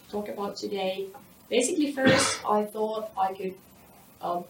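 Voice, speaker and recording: Echoey, low-voiced woman presenting. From Finland. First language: Finnish. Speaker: academic researcher giving a conference talk. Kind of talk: academic presentation, semi-scripted. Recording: echoey room, distant mic, faint noise.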